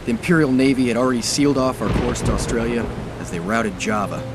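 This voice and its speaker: A man's voice: medium pitch, fast, heavy background noise, natural voice. An actor with an American accent playing a resolute, world-weary navy commander, narrating his war memoir in a dramatic war game. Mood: grave, reflective. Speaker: narrator and naval officer.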